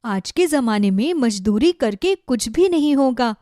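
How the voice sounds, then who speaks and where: A woman's voice: on the high side, expressive, unhurried, warm tone, no room sound, studio quality. A woman voicing a patient, practical village wife in a cartoon story, reassuring and sensible. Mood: earnest, practical. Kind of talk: Hindi cartoon moral-story dubbing.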